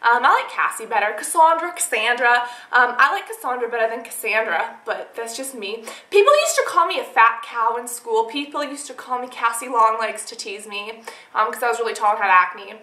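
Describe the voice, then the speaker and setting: A young woman with a high, very bright voice talks casually as a vlogger answering questions. She rambles in an animated, chatty way. The room around her gives the recording a clear echo. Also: fairly quick. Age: young adult. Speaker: beauty and lifestyle vlogger. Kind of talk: casual chat answering tag questions to camera. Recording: echoey room.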